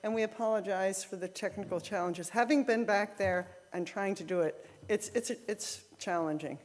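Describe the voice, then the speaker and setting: A woman leading a church service, speaking informally and a little haltingly to the congregation. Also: medium pitch, darkish tone. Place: church sanctuary, indoors. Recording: echoey hall with long reverb.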